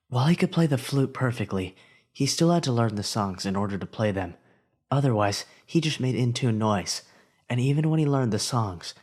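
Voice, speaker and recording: A man's voice: mid-range voice, a little mellow. A man narrating a fan-made audiobook of a story, reading expressively and lifting his voice for the hero's inner thoughts.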